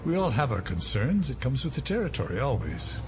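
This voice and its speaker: An actor playing a shadowy veteran conspirator in an audio drama, guarded and formally polite, deferential yet sly. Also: male voice, mid-range voice, very animated, fairly quick, noisy background, natural voice.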